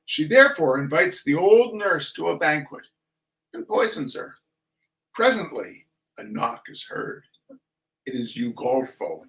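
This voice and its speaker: A man reads a humorous literary piece with mock-serious theatricality. His voice sits a little high and swings widely in pitch. He moves at an unhurried, slow pace, leaving dramatic pauses between phrases. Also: big pitch swings, a bit bright, rough recording. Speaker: reader. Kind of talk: comic literary reading of opera synopses.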